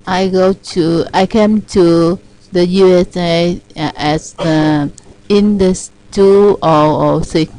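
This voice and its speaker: Slow, halting Vietnamese woman. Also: on the low side, steady tone, warm tone, poor audio. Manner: halting, searching for words. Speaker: adult English learner.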